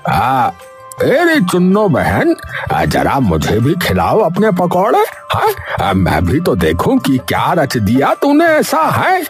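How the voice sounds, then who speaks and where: Man acting an excitable, wildly swooping old headman. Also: mid-range voice, soft background music. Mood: curious. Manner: exclamatory, drawn-out, folksy. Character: excitable old male village headman, easily overwhelmed. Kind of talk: Hindi cartoon story acting.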